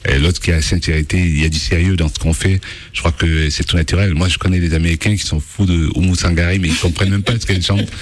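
A man, a musician guest on a radio show, speaking thoughtfully and haltingly with many pauses. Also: low voice, steady tone, slightly rough. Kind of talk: casual radio interview talk.

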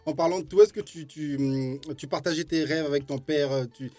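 A man's voice: on the high side, fairly even intonation, fairly quick, a loud crowd. A man born in Congo, interviewing casually and hesitantly, repeating his opening words as he asks questions. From born in Congo-Brazzaville. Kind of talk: casual chat.

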